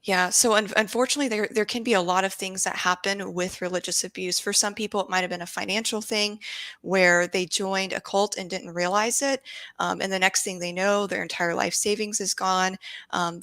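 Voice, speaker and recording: A woman's voice: medium pitch, a bit bright, no room sound. A woman from Japan speaking as a guest expert in an interview, earnest and explanatory, choosing her words with care and consideration.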